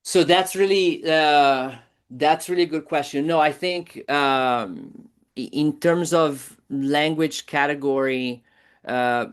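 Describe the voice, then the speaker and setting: A man's voice: on the high side, takes it slowly, clear, resonant tone. A man presenting his project at an online conference and answering a judge's question candidly, with many fillers.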